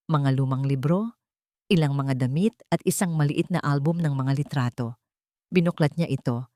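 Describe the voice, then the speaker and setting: Female story narrator, lowish register, solemn measured phrasing, dry studio-like sound. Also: warm tone, dry recording. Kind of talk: scripted dramatic story narration.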